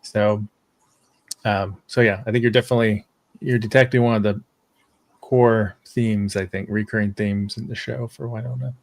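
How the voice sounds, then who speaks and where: A man's voice: on the low side, fairly even intonation, normal speed, dry recording. A man speaking as a fan panelist, casually agreeing and elaborating in a group discussion.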